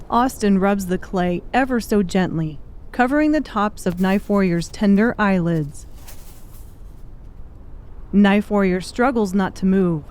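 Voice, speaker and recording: A woman's voice: medium pitch, darkish tone. A woman reading scripted, dramatic audiobook narration.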